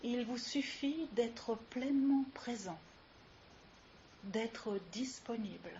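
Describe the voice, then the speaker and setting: A woman with a fairly high voice guides a relaxation meditation very slowly. She gives soothing instructions and leaves long pauses between them. The live recording is a little dull, with hiss behind her. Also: a little mellow. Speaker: yoga nidra instructor. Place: live session with ambient sound.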